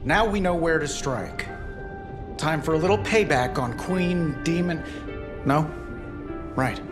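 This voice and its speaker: A man's voice: fairly high pitch, slow pace, music in the background. A man playing a brash, impatient hero in a video game cutscene. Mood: brash, defensive. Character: brash, impatient magic-wielding ally eager for payback.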